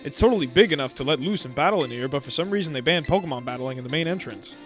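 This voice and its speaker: A man reading aloud the lines of a cheerful, eager schoolgirl from a video game, in a flat read-aloud manner. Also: a little high, racing, soft background music, close to an everyday voice. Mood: upbeat.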